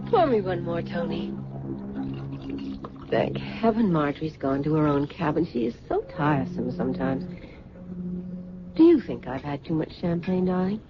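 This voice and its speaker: Vain, grand fashion-queen mother: low-pitched, theatrical, condescending, very animated; muffled vintage radio sound. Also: moderate pace, long pauses, over music. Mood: weary, imperious. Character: vain, imperious middle-aged fashion designer mother. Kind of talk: old-time radio drama acting.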